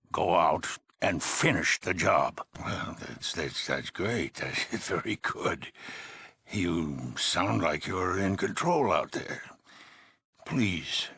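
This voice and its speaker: Worried old vault boss from a video game, super husky and low, grave and in charge, dry close sound. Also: male voice, sharp tone, no room sound, full character voice. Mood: stern, urgent, then weary, relieved. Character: worried, authoritative older male leader of an underground vault. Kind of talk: dramatic game dialogue.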